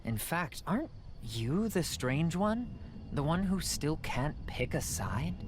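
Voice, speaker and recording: A man's voice: on the high side, very animated, slow, noisy background. A man playing a scornful, accusing young male convert in an anime video game, with a pointed, needling delivery.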